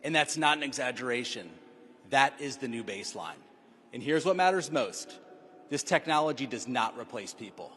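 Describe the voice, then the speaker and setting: A man's voice: mid-range voice, lively intonation, normal speed, fairly bright tone, a little hiss or hum. Emphatic male presenter in a big hall. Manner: emphatic, sales-like delivery. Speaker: company executive presenting. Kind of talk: scripted public presentation.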